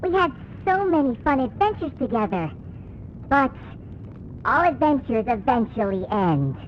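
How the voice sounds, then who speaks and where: A woman's voice: high-pitched, big pitch swings, measured pace, highs cut off, a little hiss or hum. A woman playing a cheerful, playful and bossy children's show host in an analog horror cartoon, with a sing-song, exaggerated delivery. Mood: cheerful, wistful.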